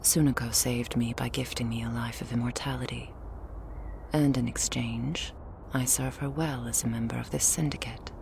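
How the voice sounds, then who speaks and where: A woman voicing a stern, guarded female vampire bodyguard in an ASMR audio roleplay, hushed, dry and terse. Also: very deep voice, fairly even intonation, normal speed, creaky, background noise. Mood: musing, hushed.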